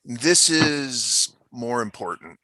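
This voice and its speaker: A man playing a casual, nosy high school principal in a comedy script read. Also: lively intonation, slow pace, sharp tone, dry recording, heavily acted, rough recording. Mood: confiding.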